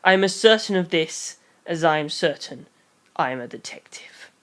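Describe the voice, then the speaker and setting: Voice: medium pitch, expressive, moderate pace, a bit bright, smooth, lightly acted. A narrator voicing an eleven-year-old boy detective in an audiobook, earnest, intense and grandly self-certain, given to pompous speeches. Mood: grave, declaring.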